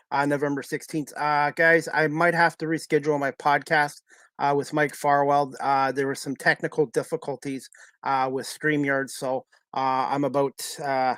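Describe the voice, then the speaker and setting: A man hosting a live sports podcast, talking casually to his audience with a halting, hesitant delivery. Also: on the high side, a bit bright, no room sound. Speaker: podcast host. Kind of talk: casual live sports talk with scripted-sounding news updates.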